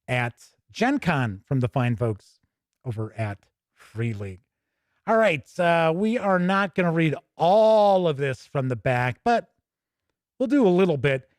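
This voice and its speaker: Casting: American man, middle-aged gaming show host, slightly high voice, chatty livestream delivery, close and dry sound. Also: darkish tone, no room sound. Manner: chatty, conversational, with playful asides. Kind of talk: casual livestream commentary.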